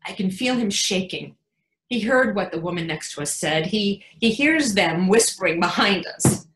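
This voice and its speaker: Woman playing an expressive mother in an echoey room. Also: mid-range voice, moderate pace, natural voice. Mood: tender. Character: tender, flustered mother of a young son. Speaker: actor in a readers theatre. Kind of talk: dramatic monologue reading.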